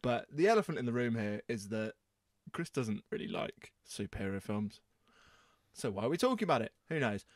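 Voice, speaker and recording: A man hosts a casual podcast in a mid-pitched voice. He chats playfully, teasing with mock indignation. The sound is close and dry. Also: sing-song, brisk, no echo. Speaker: podcast host. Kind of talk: casual banter chat.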